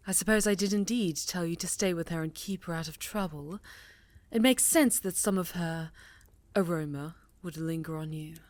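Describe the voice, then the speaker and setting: A woman playing a stern, highly strung witch leader in a fantasy ASMR roleplay, speaking formally and with command to a pupil. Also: normal speed, a little mellow, no room sound, lightly acted. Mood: suspicious, probing.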